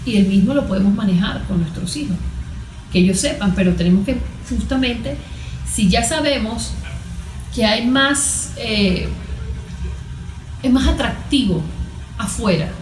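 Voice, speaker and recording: A Venezuelan woman speaking as a therapist, explaining things didactically in short, measured phrases. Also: fairly high pitch, a little hiss or hum. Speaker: cognitive therapist. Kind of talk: explanatory interview talk. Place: therapy consulting room. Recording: echoey room with music bed.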